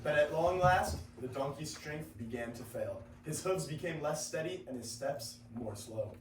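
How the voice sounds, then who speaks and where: A man's voice: on the high side, expressive, moderate pace, lightly acted. Teen boy as storybook narrator, roomy sound. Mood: storytelling. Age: teenager. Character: storybook narrator of an animal folk tale. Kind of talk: scripted reader's theater narration. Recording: roomy, distant classroom recording, faint noise.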